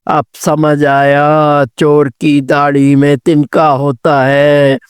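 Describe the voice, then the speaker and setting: Storybook elder rabbit uncle, played by a woman: stern accuser, very slow delivery, clean smooth tone. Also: low-pitched, level tone, no echo, studio quality, voice pushed down. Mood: knowing, satisfied.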